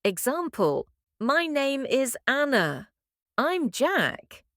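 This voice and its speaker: A woman co-hosting a podcast English lesson, speaking in a slow, clear, friendly teaching manner. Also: high voice, very animated, a bit bright, no echo, studio quality. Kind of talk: scripted English lesson dialogue.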